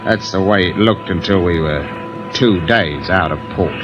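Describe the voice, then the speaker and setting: An actor playing a ruthless, terse ship's officer turned murderer in a 1950s radio drama. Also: male voice, low-pitched, normal speed, lightly acted. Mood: grim, reflective. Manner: terse, commanding, cold. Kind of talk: 1950s radio drama acting, first-person narration. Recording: muffled vintage radio broadcast, loud music.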